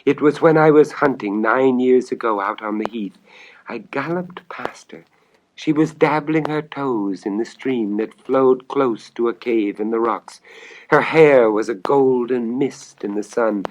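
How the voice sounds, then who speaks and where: A man voicing an anguished, lovesick, secretive king in a storybook tale, speaking in an impassioned, halting, confessional way. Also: mid-range voice, pitched above the performer's own voice, lightly acted, rough recording. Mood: wistful, impassioned. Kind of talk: storybook audio dramatization.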